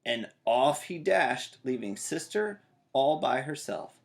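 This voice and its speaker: A man's voice: medium pitch, animated, takes it slowly, creaky. A man in his thirties narrating a children's storybook aloud. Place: small child's bedroom, indoors.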